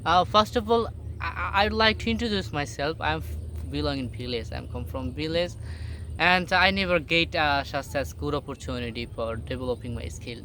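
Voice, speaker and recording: A young Bengali-accented man with a noticeably high voice talks to his viewers in hesitant, restart-heavy English. He sits outdoors on a boat, and faint outdoor ambience and passing vehicles can be heard behind him. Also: bright, forceful tone, a little hiss or hum. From Bangladesh. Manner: halting non-native English with many fillers and restarts. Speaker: vlogger. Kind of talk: casual motivational talk to camera. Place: sitting alone on a boat outdoors in a rural area.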